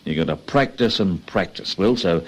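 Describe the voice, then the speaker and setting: Cocky Australian crook boss, lively low voice. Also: male voice, breathy edge. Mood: cocky, pleased. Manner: brisk, slangy, commanding. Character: cocky, bossy male ringleader of small-time crooks. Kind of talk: radio crime drama acting.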